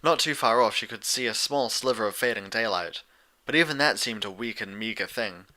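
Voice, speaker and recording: A man's voice: on the low side, moderate pace, pushed and bright. A man reading a story aloud as a scripted audiobook narrator.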